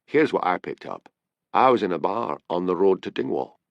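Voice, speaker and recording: A man voicing a shifty, eager small-time informant with a Scottish accent and an excited, matey, colloquial manner. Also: normal speed, creaky, dry recording, dull audio. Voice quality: deep.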